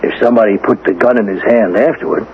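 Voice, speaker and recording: An actor playing a shrewd, suspicious police lieutenant in a radio drama, needling with drawn-out, insinuating questions. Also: male voice, on the low side, lightly acted. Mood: sly, insinuating. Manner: drawling pauses, insinuating, folksy rhetorical questions. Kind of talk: 1970s radio drama acting. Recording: tinny vintage radio broadcast, faint noise.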